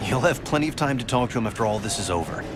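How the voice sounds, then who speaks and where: An animated male superhero voice played by an actor from a video game. He sounds driven and intense, a young hero on a mission, set against loud music and rumble. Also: racing, lightly acted. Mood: resolute. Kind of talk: dramatic video game voice acting.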